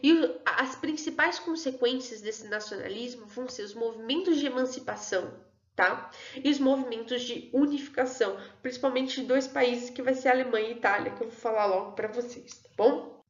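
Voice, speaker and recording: A woman's voice: a little high, brisk. A woman teaching an online history class in a chatty way, often checking in with her students. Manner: chatty, keeps checking in with "tudo bem?" and "né, pessoal?". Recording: echoey room, dull lo-fi sound.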